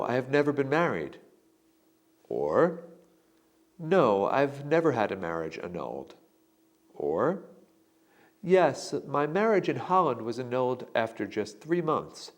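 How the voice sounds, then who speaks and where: A man giving slow, deliberate sample answers in a scripted lesson. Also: medium pitch, very animated. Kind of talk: scripted instructional narration.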